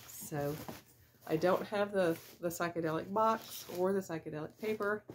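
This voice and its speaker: Woman in her fifties, lowish voice, a bit stuffy from a cold; easygoing reseller chat at desk distance, faint hiss behind. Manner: chatty, unhurried, with small laughs and fillers. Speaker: reseller vlogger. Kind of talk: casual unboxing chat. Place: small room with shelves of boxes, indoors. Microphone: camera at desk distance.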